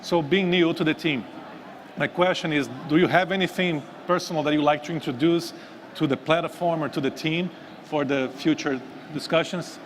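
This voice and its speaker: A man's voice: fairly high pitch, fairly even intonation, moderate pace. A man moderating a live panel in a casual conversational style, introducing and questioning guests. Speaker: panel moderator, team member. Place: live stream stage at a busy event. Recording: noisy event floor ambience.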